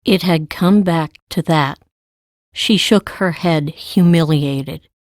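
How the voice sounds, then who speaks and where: Woman, audiobook narrator, scripted storytelling, slow delivery, lowish pitch, dry recording. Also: dry recording.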